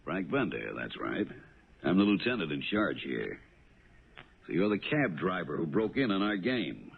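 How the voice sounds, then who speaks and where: An actor playing a gruff, authoritative police lieutenant in a 1970s radio drama, with a deep gravelly voice and a blunt manner. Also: male voice, big pitch swings, normal speed, exaggerated voice. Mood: suspicious, commanding. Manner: probing, blunt. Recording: narrow-band old radio broadcast.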